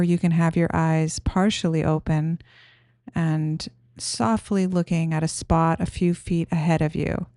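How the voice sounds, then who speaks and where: A woman guiding a meditation in a gentle, soothing, unhurried manner, giving simple instructions. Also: on the low side, no room sound, studio quality. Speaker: meditation guide.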